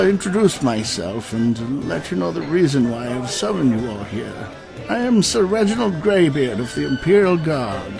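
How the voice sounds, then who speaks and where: A man's voice: on the high side, gravelly. A man playing a courteous, weary, eccentric old knight in his seventies, speaking formally and hesitantly in a comic fantasy audio drama. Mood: courtly. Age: 70s in character. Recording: music behind the voice.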